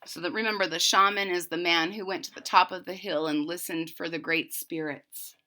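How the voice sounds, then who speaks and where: A woman reading a children's story aloud expressively, deliberately, with explanatory asides to young listeners. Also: lowish voice, fairly even intonation, pushed and bright.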